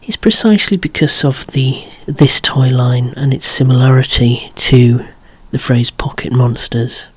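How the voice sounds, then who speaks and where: A person whispering an ASMR show-and-tell of a collection, musing along in a hushed voice with gently wry asides. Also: mid-range voice, unhurried, few pauses, husky. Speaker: presenter of a toy collection.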